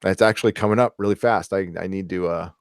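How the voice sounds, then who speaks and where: A man's voice: low-pitched, steady tone, quick pace, clean tone, no room sound, clean studio sound. A man in his forties hosting a podcast, chatting casually. He laughs often and swears freely. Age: 40s. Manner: laughs often, loose and profane. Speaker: podcast host. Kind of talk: casual chat.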